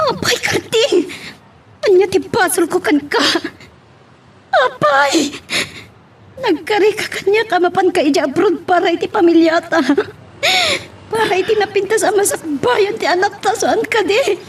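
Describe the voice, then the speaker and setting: A woman's voice: very high voice, moderate pace. A woman playing an anguished wife and mother confronting her unfaithful husband, with an Ilocano accent, in love drama acting. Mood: tearful, accusing.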